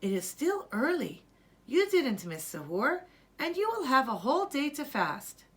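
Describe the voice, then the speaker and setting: Storybook mother, voiced by a woman reader: soothing, tender, higher register, very expressive. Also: unhurried. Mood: amused, reassuring. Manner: soothing, affectionate. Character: gentle, reassuring young mother of small children. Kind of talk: children's storybook read-aloud.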